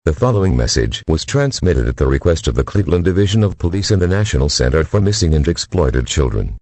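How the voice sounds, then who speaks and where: A low, dark male announcer voice reads a scripted emergency alert. It is husky and mechanical, formal and impersonal throughout. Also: big pitch swings, fast, no room sound.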